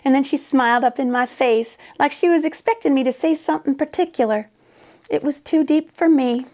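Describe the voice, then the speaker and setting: A middle-aged American woman narrates a children's storybook like a teacher at story time. Her voice is on the high side, and she leans into a drawling, folksy Western dialect with plenty of expression. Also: fairly quick, darkish tone. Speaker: teacher reading a storybook aloud. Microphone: lapel.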